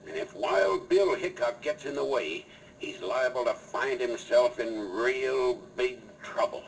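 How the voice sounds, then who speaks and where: An actor playing a scheming, bullying, scornful outlaw boss in an old radio western. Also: male voice, medium pitch, expressive, a little slow, vocal fry. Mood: menacing. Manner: menacing, scornful. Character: scheming, bullying male outlaw henchman chief. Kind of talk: 1950s radio western acting. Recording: distant, noisy old radio recording.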